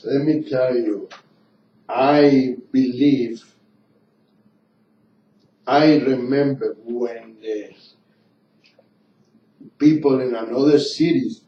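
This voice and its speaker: Older male radio host, Cuban accent, animated storyteller; recorded distant in a roomy space, dull audio. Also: medium pitch, very slow, long pauses. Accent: Cuban Spanish. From Cuba. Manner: animated storytelling, broken English. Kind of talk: casual radio banter.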